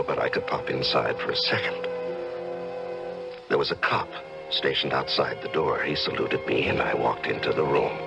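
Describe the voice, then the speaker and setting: An actor playing an unhinged, secretive judge who kills and justifies it, speaking with brooding, theatrical deliberation in an old-time radio drama. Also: male voice, a bass voice, vocal fry, full character voice, rough recording. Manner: deliberate, brooding, theatrical, halting when evasive. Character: unhinged murderous male judge, secretive, coolly self-justifying. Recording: radio drama with music underneath.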